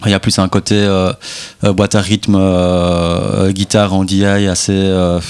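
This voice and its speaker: A young man, a musician in a band, chatting in a radio interview, halting with many repeated words. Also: sits low, level tone, darkish tone, smooth. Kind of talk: casual interview chat.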